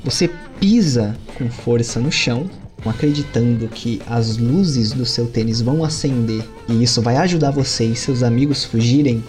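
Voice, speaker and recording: A man with a Brazilian Portuguese accent, running a tabletop role-playing session as game master and telling the scene with lively storytelling. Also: moderate pace, faint music behind. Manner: animated storytelling with sudden lifts in pitch.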